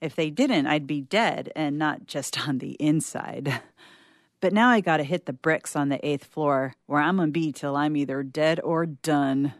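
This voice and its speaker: A woman's voice: low-pitched, unhurried, dry recording, studio quality, pitched below the performer's own voice. A woman playing a stressed, sardonic young university student in a rambling, slangy, self-mocking story monologue. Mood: wry, dazed. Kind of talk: dramatic monologue reading.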